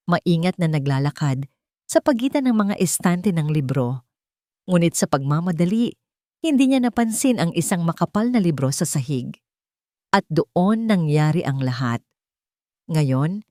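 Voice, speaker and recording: Low-voiced woman narrating a love story. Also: darkish tone, no echo, clean studio sound. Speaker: narrator of romantic audio stories.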